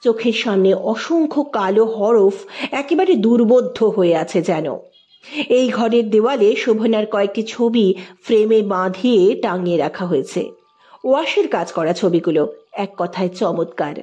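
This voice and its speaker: A woman's voice: medium pitch, animated, measured pace, mellow, highs cut off. A woman reading literary fiction aloud as a scripted, dramatic audio story narration.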